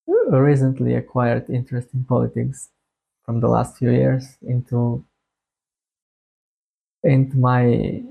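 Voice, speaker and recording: A young man from Bulgaria with a Bulgarian accent, talking casually to his viewers in a halting, hesitant way. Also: mid-range voice, creaky, narrow, tinny audio. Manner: halting, hesitant delivery with long phrasing breaks. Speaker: YouTuber introducing a music channel. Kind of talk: casual to-camera monologue.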